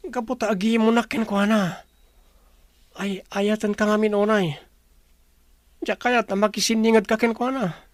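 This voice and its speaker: High-voiced young Filipino man, played by an actor. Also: fairly even intonation, normal speed, pauses often, no room sound, clean studio sound, lightly acted. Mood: agitated. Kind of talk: Ilocano radio drama acting.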